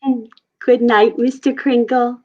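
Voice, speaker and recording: A woman's voice: fairly high pitch, flat delivery, measured pace, natural voice. A woman playing a serious, wistful little girl in a 1940s-style radio play on a lo-fi stream. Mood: sleepy, fond.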